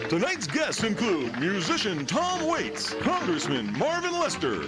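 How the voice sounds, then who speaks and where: A man's voice: sits high, rough recording. Man doing a wildly swooping show announcer. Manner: bombastic, showy. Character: bombastic male talk show announcer. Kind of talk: parody show-opening announcement. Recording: loud music under the voice.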